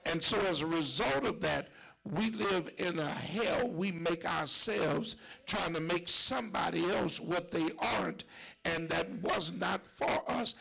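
A man's voice: high-pitched, lively intonation, slow pace, gravelly, husky, rough recording. A man preaching a sermon in a church cadence, building with repeated lines and sometimes sinking to a whisper. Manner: preaching with rising shouts and dropping to whispers; call-and-response church cadence, repetition.

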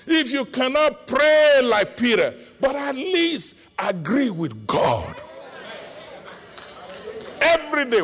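Nigerian-accented male pastor, middle-aged, high lively voice, slow emphatic delivery that breaks into shouts. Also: slightly rough. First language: Yoruba. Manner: emphatic preaching, bursts into shouting. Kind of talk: sermon.

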